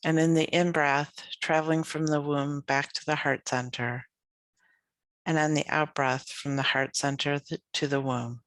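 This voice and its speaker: Woman guiding a slow, gentle meditation. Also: low-pitched, flat delivery, no room sound. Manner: gentle, soothing, unhurried with long pauses. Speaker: yoga nidra teacher. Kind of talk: guided meditation narration.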